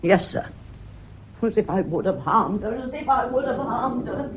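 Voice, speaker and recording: An actress playing a stubborn, evasive housekeeper in a period mystery radio drama, deferential yet protesting. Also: female voice, a little high, expressive, moderate pace, muffled recording, background noise, lightly acted. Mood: wounded indignation. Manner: protesting, servile "sir" after answers.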